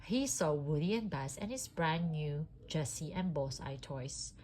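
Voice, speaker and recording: A sniffly woman reading a children's storybook aloud in an expressive, homemade style. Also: low-pitched, a little slow.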